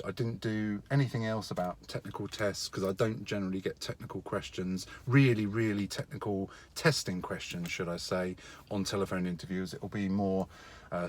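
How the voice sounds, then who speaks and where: Low-voiced middle-aged man giving casual advice. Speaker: IT contractor giving career advice.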